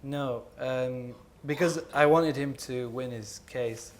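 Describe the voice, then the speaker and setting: A man's voice: medium pitch, takes it slowly. A man from Jordan speaking at a panel talk, reflective and hesitant, with many fillers and restarts.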